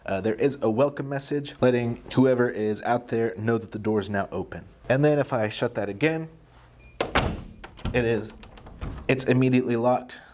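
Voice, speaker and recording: A man walks through a product demonstration in an easygoing, step-by-step style. His voice sits at a medium pitch, and he explains each feature in plain, practical terms. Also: darkish tone. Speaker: tutorial presenter.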